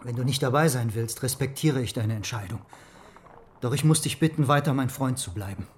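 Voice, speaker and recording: An actor playing an earnest, loyal religious dissident in a German radio drama, speaking gravely and persuasively. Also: male voice, mid-range voice, fairly quick, close to an everyday voice.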